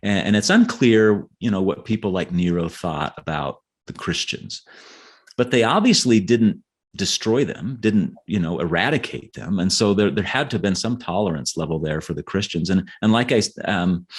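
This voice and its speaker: A man speaking as an author guest in a conversational interview, explaining in a halting way and often repeating words. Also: on the low side, husky. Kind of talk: conversational interview, explaining history and his novels.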